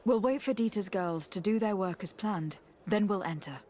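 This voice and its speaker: A medium-pitched female voice, an actress as a poised young noblewoman in a fantasy game. She is composed, polite and reassuring in her formal dialogue, with noticeable noise in the background. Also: normal speed, dark tone, natural voice. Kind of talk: scripted video game dialogue.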